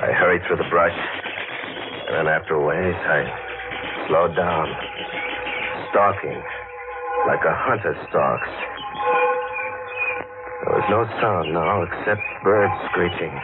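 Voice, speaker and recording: Low-voiced hardboiled radio killer. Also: male voice, takes it slowly, pauses often. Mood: grim narration. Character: hardboiled, sardonic male killer narrating his treasure hunt. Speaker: lead actor and first-person narrator. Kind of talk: 1950s radio crime acting, hardboiled narration. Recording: muffled, narrow-band 1950 radio with music.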